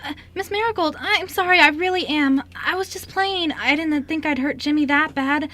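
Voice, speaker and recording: A tiny, piercing, bright child's voice. The little orphan girl is scared and apologetic, pleading earnestly in a radio play. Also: high voice, normal speed. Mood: tearful pleading. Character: pleading five-year-old orphan girl, scared and sorry. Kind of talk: amateur radio play acting.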